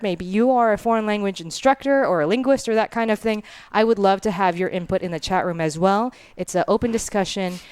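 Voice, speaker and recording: Smooth-voiced woman co-hosting a podcast. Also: mid-range voice, no echo. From Thailand. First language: Thai. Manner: chatty, rundown-style, many ums. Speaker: podcast co-host. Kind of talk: casual chat.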